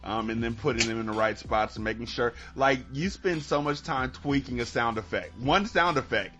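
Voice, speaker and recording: A man's voice: medium pitch, moderate pace, bright, forceful tone, faint music behind. A man hosting a podcast in a casual, conversational style. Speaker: podcast host. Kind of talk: casual chat.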